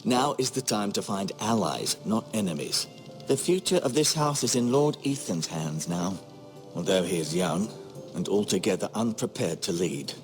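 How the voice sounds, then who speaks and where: Guy acting as old fantasy game maester, lowish voice, grave n opinionated, formal and musing, music behind him. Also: expressive, moderate pace, natural voice. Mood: somber, musing. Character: older learned castle maester, grave, opinionated, gently bedside. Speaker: maester healer. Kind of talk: video game drama acting.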